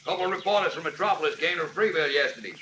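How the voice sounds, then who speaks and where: High-pitched scolding backwoods henchman. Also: rapid-fire, sharp tone, far from the mic. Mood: scolding, wary. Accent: rural American. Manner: scolding, folksy. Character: gruff, suspicious backwoods henchman. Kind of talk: 1940s radio acting. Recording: noisy, echoey old radio, off-mic.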